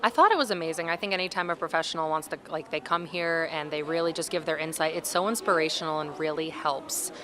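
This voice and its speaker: A woman's voice: low-pitched, fast, hardly any pauses, fairly bright tone. A young woman student giving an enthusiastic, rambling reaction to a campus event. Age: young adult. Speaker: student interviewee. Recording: crowd noise behind.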